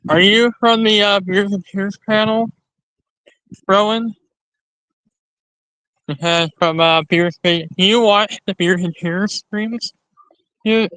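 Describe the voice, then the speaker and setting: Guy doing a casual livestream, kinda high voice for a man, slow and rambly, keeps restarting sentences. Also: pushed and bright, smooth, no echo, poor audio. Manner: rambling, halting, repeats and restarts phrases. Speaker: live stream host. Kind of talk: casual livestream chat to viewers.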